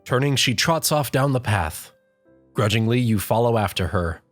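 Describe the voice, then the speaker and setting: A woman's voice: a bass voice, lively intonation, normal speed. A woman reading a story aloud as scripted second-person narration. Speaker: fan fiction reader. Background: faint music.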